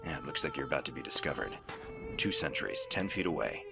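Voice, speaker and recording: An actor playing a gruff, world-weary American special forces operative in a radio drama, terse and dry in delivery. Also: male voice, a bass voice, wildly swooping pitch, fast, exaggerated voice. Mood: low, reflective. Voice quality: very gravelly growl. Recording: music bed under dialogue.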